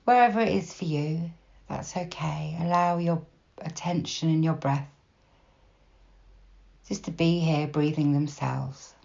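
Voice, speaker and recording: A woman guiding a meditation in a slow, soft, unhurried manner with long pauses between phrases. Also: low voice, vocal fry, muffled recording. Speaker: meditation guide. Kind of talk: guided body scan meditation, gentle instruction.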